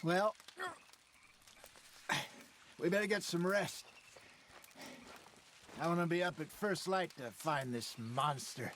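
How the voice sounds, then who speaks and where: An actor playing a seasoned, genial older outlaw with an American accent and folksy, conversational delivery in a Western video game. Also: male voice, fairly high pitch, slow, pauses often, slight background noise. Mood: tired, calm. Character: seasoned old male outlaw, genial, wry, fatherly. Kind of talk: video game voice acting, Western drama dialogue. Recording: loud music and horse hooves behind. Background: music, horse hooves.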